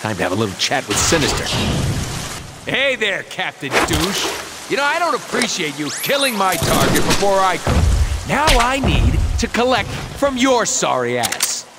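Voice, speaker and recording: A man's voice: high voice, huge pitch swings, moderate pace, very noisy. A man playing a manic, childish, cocky mercenary antihero in a video game, with a hyperactive, mocking, theatrical delivery.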